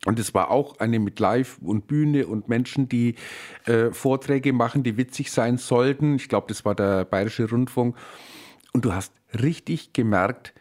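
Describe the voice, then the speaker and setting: A man with a Franconian accent talks as a radio co-host. His pitch is medium, and he chats off the cuff in a joking, bantering way. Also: measured pace, darkish tone. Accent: Franconian (Nuremberg). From Franconia, Germany. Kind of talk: casual unscripted chat.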